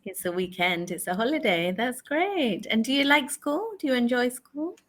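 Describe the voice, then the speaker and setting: Woman, 40s, online conversation tutor, bright high register, patient and encouraging. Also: animated, moderate pace. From England. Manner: patient, encouraging, repeats the learner's answers back. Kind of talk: casual conversation lesson.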